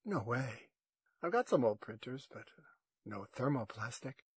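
A man's voice: medium pitch, sing-song, measured pace, slightly rough, no room sound. A wary young woman who deals in salvage, read by a man. She talks casually but evasively, hesitating as she explains herself, in a dry audiobook recording.

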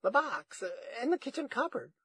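A feverish old grandmother, voiced by a male narrator, pitched high and strained. She wanders wistfully through her words in an audiobook story, hesitant and a little sly, in a close, dry recording. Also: big pitch swings, a little fast, fairly bright tone, breathy edge, no echo, exaggerated voice. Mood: confused.

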